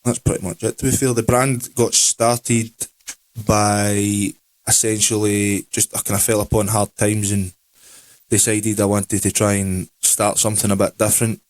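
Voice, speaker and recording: A Scottish man in his late twenties co-hosting a podcast in a relaxed, rambling chat. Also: lowish voice, moderate pace. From Scotland. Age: 20s. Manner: relaxed, rambling, with Scottish words like aye and wee. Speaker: podcast co-host. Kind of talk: casual chat.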